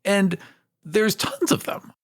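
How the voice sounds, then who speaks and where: A man's voice: high voice, animated, takes it slowly, no echo, clean studio sound. A man co-hosting a podcast, chatting casually about games in an opinionated, emphatic way. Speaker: podcast co-host.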